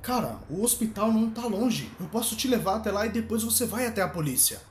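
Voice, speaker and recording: A man's voice: sits high, very animated, fast. A male actor with a Brazilian accent playing an irritable but concerned everyman driver in an audio drama, colloquial and exasperated. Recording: clear ambient noise behind.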